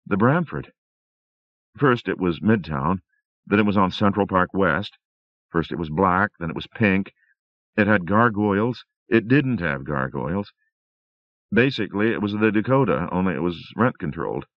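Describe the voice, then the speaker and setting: A man's voice: low-pitched, animated, moderate pace, no echo, highs cut off, pitched above the performer's own voice. A man voicing a young woman who has just woken from a nightmare, speaking breathlessly and emotionally. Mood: rambling.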